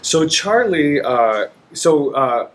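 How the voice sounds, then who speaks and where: A man's voice: a little high, very animated, slow, a bit bright. A young man from Dallas, an opera singer, talking casually and enthusiastically in an interview, full of "you know"s. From Dallas, Texas. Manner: enthusiastic, chatty, many fillers like "you know".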